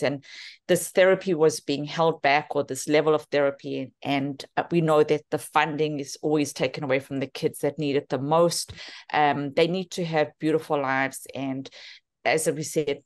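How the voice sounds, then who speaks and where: Low-pitched South African woman. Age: 50s. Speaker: physiotherapist and therapy program co-founder. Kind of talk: webinar talk, self-introduction.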